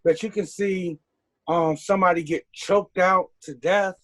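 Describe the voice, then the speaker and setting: A man's voice: high voice, steady tone, unhurried, crowd noise. A man who is a guest on a casual podcast, talking emphatically and asking exasperated rhetorical questions. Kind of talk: casual chat.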